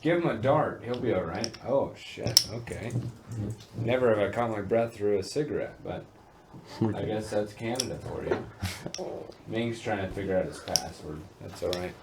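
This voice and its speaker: A man's voice: fairly low pitch, normal speed. A man chatting casually in a group, slangy and full of joking asides. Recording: very noisy, echoey room.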